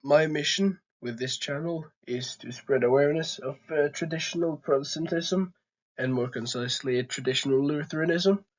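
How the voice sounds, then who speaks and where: A man's voice: a little high, normal speed, dull audio. A young man with a Norwegian accent, hosting his own channel and talking earnestly with frequent hesitations. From Norway. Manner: hesitant, many "uh" fillers, earnest. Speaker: channel host. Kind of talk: casual talking-to-camera vlog.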